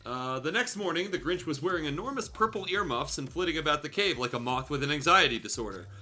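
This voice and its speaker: A man's voice: on the high side, lively intonation, quick pace, bright, forceful tone. A man reading fan fiction aloud for comedy as a show host, breaking off into casual, irreverent, sweary asides.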